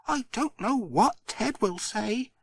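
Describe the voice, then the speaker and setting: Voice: mid-range voice, lively intonation, slow pace, vocal fry, slightly rough, dry recording, voice pushed up, full character voice. A storyteller voicing a fussy older postmistress who frets about a badly wrapped parcel. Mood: fretful. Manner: fussy, tutting.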